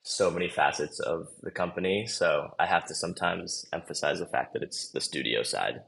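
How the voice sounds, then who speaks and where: A man's voice: low voice, animated, normal speed, vocal fry, fairly bright tone. A young man from Los Angeles, a podcast guest talking in a loose, easygoing, chatty way. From Los Angeles, USA. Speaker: podcast guest, marketing manager. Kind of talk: casual interview chat.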